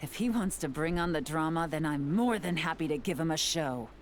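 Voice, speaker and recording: A woman's voice: deep voice, expressive, fairly quick, a little hiss or hum. An actress playing a cool, confident woman investigator in a video game, poised, teasing and theatrical, with a dry menacing edge. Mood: smug, teasing.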